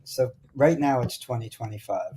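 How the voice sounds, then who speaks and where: A man's voice: medium pitch, moderate pace, heavy background noise. A man speaking as a guest author, explaining ideas in a reflective, conversational style.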